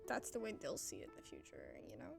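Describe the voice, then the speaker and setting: A woman's voice: mid-range voice, wildly swooping pitch, quick pace, gravelly. A woman giving casual, informal gameplay commentary as a streamer, musing as she goes. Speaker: game streamer. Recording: music behind the voice.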